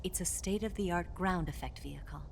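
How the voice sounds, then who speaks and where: A woman playing a sly, flirtatious double agent in a dramatic video game cutscene, teasing and breathy at times. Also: on the low side, moderate pace, a little hiss or hum. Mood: cool briefing. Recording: music underneath. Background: cinematic game music.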